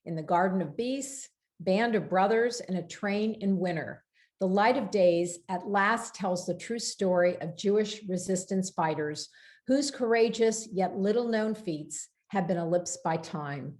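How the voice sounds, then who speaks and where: A woman hosting a virtual bookstore event, reading a prepared introduction in a measured, formal way over a video call. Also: medium pitch, a little mellow.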